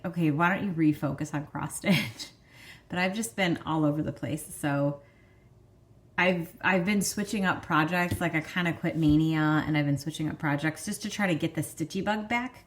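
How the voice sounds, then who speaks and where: A woman's voice: sits low, animated, normal speed. A woman in her thirties with a Michigan accent, hosting a vlog in chatty, rambling casual talk full of fillers. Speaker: vlog host. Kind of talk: casual chat.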